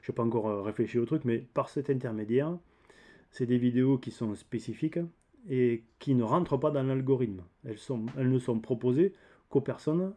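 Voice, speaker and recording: Man, southern French accent, mellow dark timbre, casual vlog talk, hesitant, rambling, explanatory, DIY host. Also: medium pitch, moderate pace, lo-fi sound. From south of France. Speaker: DIY video channel host.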